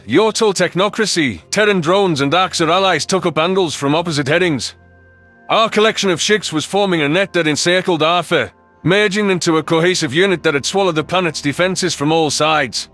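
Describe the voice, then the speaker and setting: A man's voice: a little high, fairly bright tone, natural voice. A man playing a thoughtful alien soldier who narrates his own story in a scripted sci-fi audio drama.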